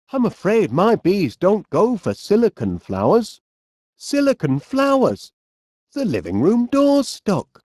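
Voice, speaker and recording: A man voicing a genial, polite, eccentric middle-aged inventor with a Northern English accent in an animated comedy game. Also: high-pitched, no room sound, studio quality, full character voice. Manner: polite, quaint British turns of phrase, drawn-out words. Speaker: video game voice actor.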